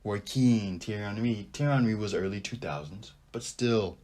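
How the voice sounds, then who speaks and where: A young man hosting a sports podcast, talking casually and rambling with animated asides as he thinks out loud. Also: fairly low pitch, normal speed. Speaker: podcast host. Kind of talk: casual sports talk monologue.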